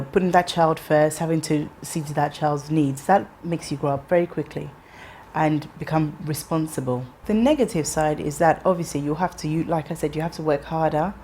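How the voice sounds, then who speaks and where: A woman in her thirties, raised in England, telling her life story in an interview in a measured, reflective way with frequent pauses and restarts. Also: low-pitched, dark tone, slight background noise. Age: 30s.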